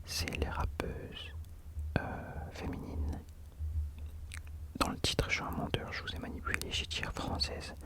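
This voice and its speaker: A man speaking in an unvoiced whisper, soft and informal, chatting as the host of an ASMR video into a close microphone. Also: a little slow, pauses often. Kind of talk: whispered ASMR talk about rap.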